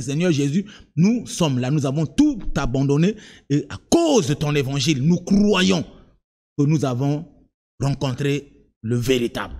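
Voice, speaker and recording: A man preaching a sermon in French, which is not his first language, moving from low, direct asides to high, sung-out cries of emphasis. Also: a little mellow. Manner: repeats words and restarts, talks to the listener directly. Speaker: apostle preaching.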